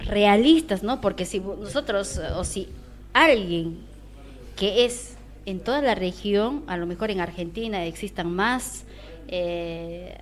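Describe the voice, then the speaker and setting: A woman's voice: mid-range voice, a little slow. Opinionated woman talking radio. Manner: animated, opinionated, searching for words. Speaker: radio host. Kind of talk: casual radio discussion.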